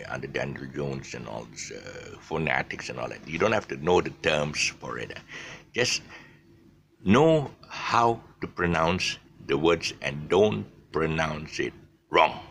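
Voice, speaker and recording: A man's voice: low-pitched, gravelly, fairly bright tone, a little hiss or hum. An older man with a Malaysian English accent, giving a rambling, chatty pronunciation lesson full of restarts. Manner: chatty, digressive, many restarts. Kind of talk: rambling casual lesson monologue.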